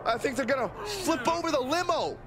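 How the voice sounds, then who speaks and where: An actor playing an incredulous, exclaiming wrestling color commentator in a video game. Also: male voice, extremely high pitch, animated, a little fast, loud music behind the voice. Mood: astonished. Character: astonished, excitable male wrestling color commentator. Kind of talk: reactive sports commentary.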